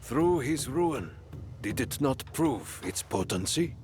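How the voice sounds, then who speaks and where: An actor playing a wry, battle-worn ronin and former general in a video game, with a deliberate, knowing, formal manner. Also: male voice, mid-range voice, very animated, darkish tone. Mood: wry, bitter. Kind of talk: dramatic video game acting.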